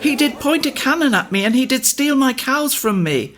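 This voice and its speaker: A woman's voice: high voice, lively intonation, moderate pace, crowd noise. An actress playing an aggrieved, fearful, plaintive peasant woman of olden times in a comic audio drama. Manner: plaintive, indignant.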